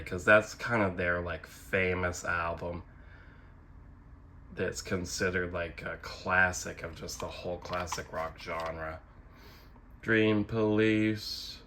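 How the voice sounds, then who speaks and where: Low-voiced, slow young man, sardonic and rambling. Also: sharp tone, a little hiss or hum. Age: young adult. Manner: self-deprecating, sardonic, swears casually. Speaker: host of a physical media collecting channel. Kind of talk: casual rambling show-and-tell commentary.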